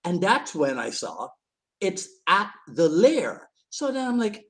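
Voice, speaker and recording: A man from Barbados, hosting a casual live-stream chat, jokey and quick to laugh, often repeating words as he goes. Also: sits high, sing-song. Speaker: podcast host.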